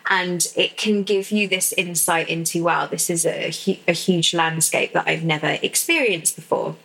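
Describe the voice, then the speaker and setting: Low gravelly-voiced woman coach. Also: fairly even intonation, poor audio. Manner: soft, unhurried explaining with many fillers. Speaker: women's sexuality coach. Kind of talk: podcast interview guest.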